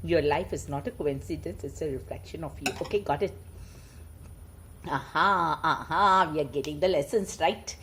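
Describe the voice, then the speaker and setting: A woman with an Indian accent, sharing moral stories in an informal, animated way. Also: deep voice, normal speed, slight background noise. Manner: chuckling, animated, informal asides. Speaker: storyteller and host. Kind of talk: casual chat mixed with read-aloud moral tales.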